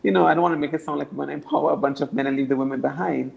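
A young woman from Anguilla with a naturally deep voice, answering interview questions earnestly and often repeating words as she builds her points. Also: fairly even intonation, rapid-fire, gravelly, darkish tone, lo-fi sound. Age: young adult. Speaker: political candidate.

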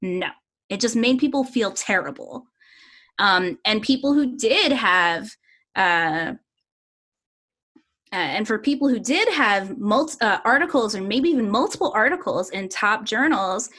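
A woman's voice: medium pitch, expressive, unhurried, sharp tone. A woman gives a candid, blunt opinion in a conversational, storytelling way.

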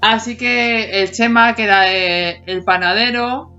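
A woman's voice: on the high side, steady tone, measured pace, pushed and bright. Chatty woman in her forties, laughing. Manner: laughs often, hums snippets of tunes. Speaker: podcast co-host. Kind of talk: casual chat about childhood TV songs. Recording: dull lo-fi sound with faint music behind.

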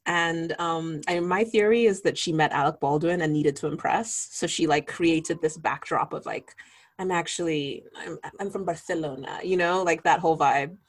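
A woman co-hosting a podcast in casual chat, thinking aloud in a relaxed way with easy laughs. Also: sits low, moderate pace. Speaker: podcast co-host.

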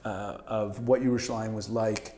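A man's voice: lowish voice, moderate pace. Chatty middle-aged man from Canada. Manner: animated, frequent restarts and stammered repeats, laughs easily. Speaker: interview guest. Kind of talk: casual conversational interview.

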